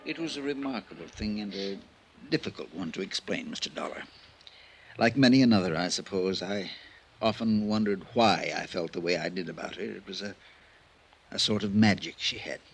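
A very low, rough-edged male voice, a tired middle-aged country doctor in a radio mystery. He sounds halting and worn, like a man confessing. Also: heavily acted. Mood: wistful, halting. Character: harried, worn-out village doctor under sixty, remorseful drunk. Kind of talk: old time radio drama acting.

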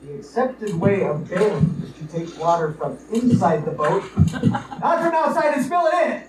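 An actor playing a flustered, scheming young bachelor in a live radio comedy play. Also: male voice, high voice, expressive, normal speed. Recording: echoey, distant, noisy live stage recording.

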